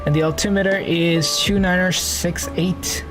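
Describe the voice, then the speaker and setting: A man's voice: sits high, steady tone, unhurried. A man hosting a flight simulator live stream in a casual, chatty way, thinking aloud as he sets up the cockpit and greeting his viewers. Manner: greets and thanks viewers warmly. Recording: music playing under the voice.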